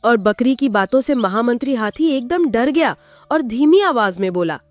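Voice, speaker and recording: A woman narrating a moral fable for a Hindi cartoon in a plain, steady storytelling way. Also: on the high side, moderate pace, no room sound, faint music behind.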